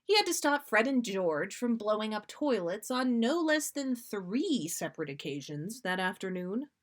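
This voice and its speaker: A woman's voice: medium pitch, very animated, moderate pace. A woman narrating a story aloud as a podcast host, with dramatic, playful expression. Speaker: podcast host reading listener stories.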